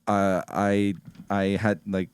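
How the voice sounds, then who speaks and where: Smooth, low-voiced man in casual chat. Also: flat delivery, slow, no echo. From Ecuador. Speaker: podcast guest, music producer. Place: small indoor podcast studio. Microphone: close studio microphone on a stand.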